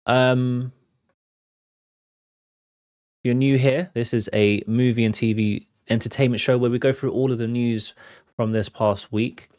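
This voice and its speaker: A man's voice: mid-range voice, fairly even intonation, measured pace, long pauses, darkish tone, no room sound, studio quality. A man hosting a live entertainment news show, chatting casually and talking straight to his viewers. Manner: conversational, addresses viewers directly. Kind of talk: casual livestream commentary.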